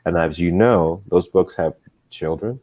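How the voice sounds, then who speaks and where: Low mellow-voiced man chatting. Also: slight background noise. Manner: unhurried, rambling, many fillers. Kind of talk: casual chat.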